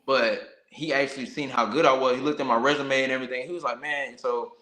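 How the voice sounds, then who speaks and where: A man's voice: mid-range voice, steady tone, fast talker, pushed and bright. An American man, a boxer being interviewed, telling his own story candidly in colloquial, casual speech with frequent 'you know' tags. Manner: candid, colloquial, peppered with 'you know what I'm saying'. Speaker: professional boxer, podcast guest. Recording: roomy, echoey sound.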